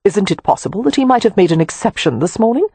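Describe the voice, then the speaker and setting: An audiobook narrator voicing a prim young governess who speaks with calm, cutting sarcasm. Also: mid-range voice, fast talker, slightly rough, dry recording, pitched above the performer's own voice. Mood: acerbic. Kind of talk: audiobook character voice.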